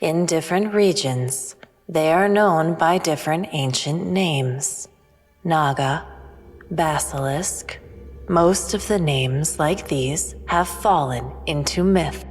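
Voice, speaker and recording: An aloof female alien played by a woman from a sci-fi audio thriller, cryptic and formal. The voice is deep for a woman and very unhurried, ringing in long reverb with music underneath. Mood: grave, detached. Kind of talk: scripted sci-fi audio drama.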